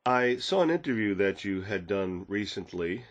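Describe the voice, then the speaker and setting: A man's voice: fairly low pitch, lively intonation, normal speed, dull audio. A man hosting a podcast, asking long conversational questions of a guest. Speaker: podcast host. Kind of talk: interview.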